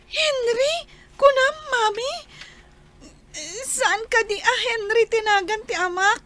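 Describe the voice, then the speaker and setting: A woman's voice: very high voice, slow pace, fairly bright tone, a little hiss or hum, heavily acted. A woman with an Ilocano accent playing a frightened, whiny little child in a radio comedy drama. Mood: fearful, whiny.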